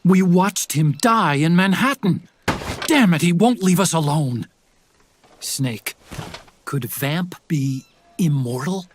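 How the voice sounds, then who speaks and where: An actor playing an earnest tech specialist in a video game, explaining things to his partner in a slightly husky voice. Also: on the high side, expressive, slow, long pauses, a little hiss or hum. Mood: bitter, shaken. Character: earnest male tech-savvy support partner briefing an agent. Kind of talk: video game cutscene acting.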